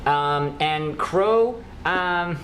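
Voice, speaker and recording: A man co-hosting a casual news chat, animated and playfully opinionated. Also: fairly high pitch, very slow. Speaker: co-host of a horror news show. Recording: roomy echo with faint noise.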